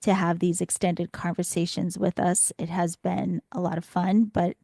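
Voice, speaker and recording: A woman hosting a spiritual podcast as a reflective, instructive monologue, her voice slightly scratchy and hoarse from much talking. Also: on the low side, little pitch movement, moderate pace, warm tone, no echo, studio quality. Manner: reflective, instructive, posing rhetorical questions. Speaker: podcast host and spiritual teacher.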